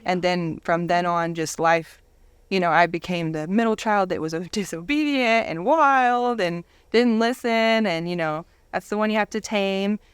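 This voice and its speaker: A woman's voice: fairly low pitch, unhurried, clean tone, dry recording. A woman in her thirties telling her personal story candidly as a guest in a casual interview. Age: 30s.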